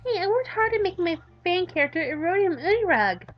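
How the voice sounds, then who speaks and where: A very high, shrill anime schoolgirl for a visual novel, whiny and overeager. The girl is loud, indignant and dim, with a swooping delivery through a slightly dull mic. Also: very animated, brisk, faint music behind. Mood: defensive.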